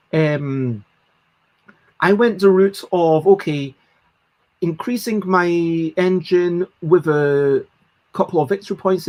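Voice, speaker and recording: A woman's voice: low voice, darkish tone. A woman hosting a casual solo livestream, chatting about board games in a rambling way with lots of ums and self-corrections. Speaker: livestream host.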